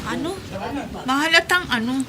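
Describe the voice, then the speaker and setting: A woman's voice: high voice, normal speed, a crowd in the background, lightly acted. An actress with a Filipino accent playing an anxious, questioning female companion in a radio drama. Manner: worried questioning.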